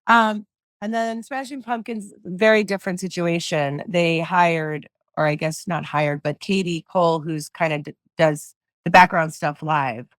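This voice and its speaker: A middle-aged woman with a low voice, a singer-songwriter guest chatting loosely in an interview, full of fillers and restarts. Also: fairly even intonation, a little slow, no echo. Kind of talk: casual interview chat.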